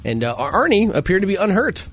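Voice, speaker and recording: A man's voice: high-pitched, soft background music. A man with a nasal Chicago accent hosting a morning radio show, bantering and joking with his co-host. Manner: animated, jokey, quick to laugh.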